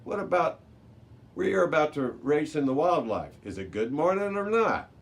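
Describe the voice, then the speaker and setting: A man voicing a commanding male race announcer in a children's storybook, showy and deliberate as he rallies young contestants. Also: fairly high pitch, sing-song, vocal fry, voice pushed up, heavily acted. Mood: rallying, commanding. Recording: noticeable background noise.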